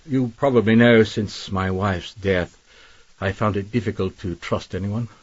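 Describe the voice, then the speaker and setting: Husky, genteel schemer. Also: male voice, fairly low pitch, fairly even intonation, a little slow, no room sound, lo-fi sound. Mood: brooding. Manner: genteel, wordy, insinuating. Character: suave scheming male employer, widowed, politely commanding. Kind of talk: radio drama acting.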